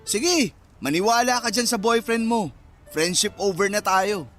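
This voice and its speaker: Radio drama best friend, young man: high-pitched, sarcastic banter, quick to sulk, music under. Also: expressive, a bit bright. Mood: sulky teasing. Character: teasing, sulky young male best friend. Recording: music bed behind the voice.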